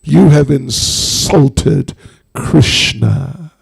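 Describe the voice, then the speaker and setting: A man voicing a menacing divine snake in a storytelling, speaking solemnly in drawn-out, portentous phrases. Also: a little high, gravelly, husky, no echo, exaggerated voice. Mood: stern, commanding. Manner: slow, drawn-out, portentous delivery.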